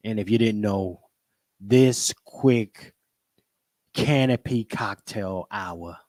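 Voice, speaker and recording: Low-pitched man hosting a livestream. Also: takes it slowly, a little mellow, no room sound. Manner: emphatic, repetitive greetings and catchphrases. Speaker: podcast host. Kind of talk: casual livestream monologue.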